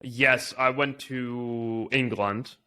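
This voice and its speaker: A man's voice: mid-range voice, fairly even intonation, extremely slow, pushed and bright, clean tone. A man from the Czech Republic with a Czech accent, speaking English as a second language as an interview guest telling his story conversationally.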